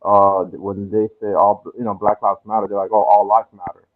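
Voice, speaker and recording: A man talking as a guest in a casual discussion, relaxed and thinking aloud with lots of fillers. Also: fairly low pitch, moderate pace. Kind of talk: casual chat. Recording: tinny phone-like line.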